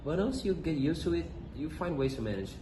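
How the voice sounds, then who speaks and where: A man's voice: a little high, lively intonation, a little fast. A young man answering interview questions in a scripted school role-play, reading with small hesitations. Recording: echoey room with noticeable noise.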